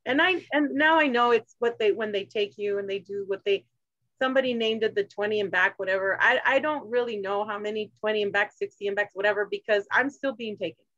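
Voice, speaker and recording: A woman from Arizona, a guest in a casual talk, telling her story in a halting way with many restarts. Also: medium pitch, no echo. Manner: halting, restarts, animated when quoting others. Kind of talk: casual personal conversation. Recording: dry, dull lo-fi sound.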